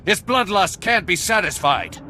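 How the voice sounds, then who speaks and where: A man's voice: sits high, sing-song, a little slow, heavy background noise. A man voicing a fierce, bombastic alien warrior in a video game, declaiming with menace. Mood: menacing, outraged. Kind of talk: video game cutscene dialogue.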